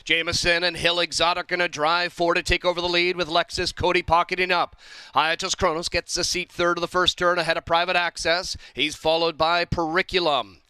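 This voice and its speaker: A man's voice: sits high, flat delivery, brisk, pushed and bright, smooth, dry recording. A man calling a live horse race in clipped, urgent announcer style. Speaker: race caller.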